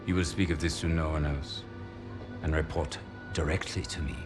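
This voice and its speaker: An actor playing a stern, secretive, high-ranking Nazi commander in a war game, giving curt, ominous orders. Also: male voice, fairly even intonation, normal speed. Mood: cold, secretive. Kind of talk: game cutscene acting. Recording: music under the dialogue.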